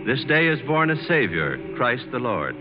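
A man's voice: medium pitch, very animated, moderate pace. A man giving solemn scripted narration in a 1940s radio broadcast style. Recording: muffled old radio, music behind.

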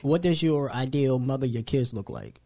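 A man in his thirties hosting a casual podcast chat, talking in a loose, slangy, animated way. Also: mid-range voice, normal speed. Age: 30s. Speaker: podcast host.

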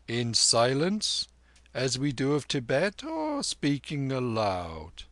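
Man playing calm old monk, slow and soft, dry sound. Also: medium pitch, no echo, full character voice. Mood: weary. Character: gentle old male monk, slow and quiet.

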